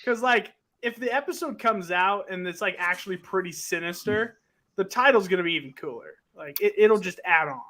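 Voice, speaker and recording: An excitable man with a high, bright voice hosting a casual group chat. He talks eagerly and swears now and then in delight. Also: fairly quick. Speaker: podcast host.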